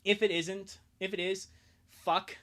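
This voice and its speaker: A streamer giving casual, sarcastic live commentary on a fan comic, jokey and quick to swear. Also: medium pitch, level tone, fairly bright tone. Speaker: streamer and dub performer.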